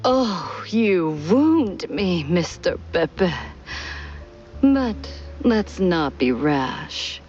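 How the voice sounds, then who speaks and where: A sly noir femme fatale, drawing out her words extremely slowly. Her lowish voice swoops theatrically with coy, teasing drama. It is a cartoon game performance with a slightly muffled sound. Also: big pitch swings, darkish tone, soft background music, full character voice. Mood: wounded, teasing. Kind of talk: 1930s film noir game acting.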